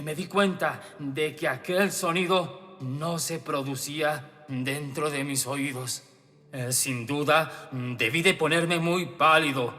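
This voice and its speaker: Give the voice of a tormented murderer in a radio horror play. He is paranoid and halting, with a slow, agitated delivery on a higher-pitched male voice. Long hall reverb surrounds him, with music underneath. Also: bright, forceful tone. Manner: agitated, halting, building to frantic outbursts. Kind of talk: dramatic radio horror monologue.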